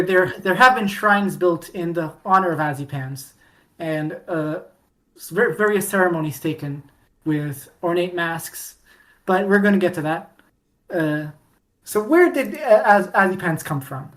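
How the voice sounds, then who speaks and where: A woman co-hosting a podcast, chatting casually about fandom in an animated storytelling way with mock-dramatic emphasis. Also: lowish voice, poor audio. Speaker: podcast co-host.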